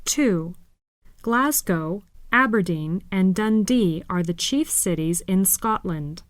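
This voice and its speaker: Female reader for a listening track, unhurried, dry studio sound. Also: medium pitch, expressive, dry recording. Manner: slow, careful, clearly enunciated. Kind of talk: scripted textbook listening exercise reading.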